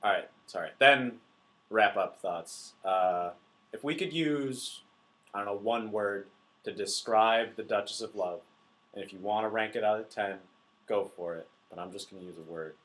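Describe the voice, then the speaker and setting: A young man co-hosting a casual book podcast, playful and quick to laugh at his own jokes. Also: lowish voice, a bit bright, poor audio. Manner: playful, laughs often, quick joking asides. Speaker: podcast co-host. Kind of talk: casual chat.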